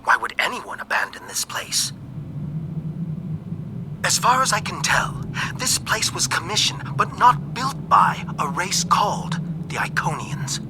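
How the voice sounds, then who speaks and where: High-voiced, formal alien scientist, music behind. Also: male voice, heavily acted, low-quality recording. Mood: questioning. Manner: formal, measured, contemplative. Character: reptilian alien scientist secretly sharing questioning doubts. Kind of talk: scripted game cutscene monologue.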